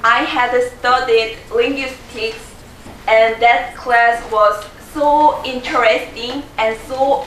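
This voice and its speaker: A woman's voice: a little high, a bit bright, a little hiss or hum. A young Korean woman presenting in English with a Korean accent, quizzing her audience and laughing often. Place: large room with a live audience. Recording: echoey room from a distance.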